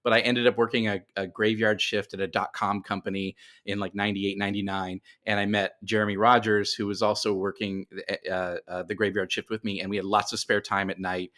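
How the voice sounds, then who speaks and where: A man's voice: fairly low pitch, little pitch movement, a little fast, hardly any pauses, a bit bright. A middle-aged man speaking as a podcast guest, telling his story conversationally and often doubling back on himself as he explains.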